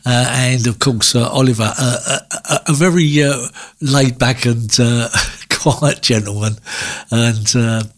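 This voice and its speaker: Husky man, rambling radio host, friendly asides to listeners. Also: mid-range voice, measured pace. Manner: rambling, many fillers and restarts. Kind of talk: casual radio chat between records.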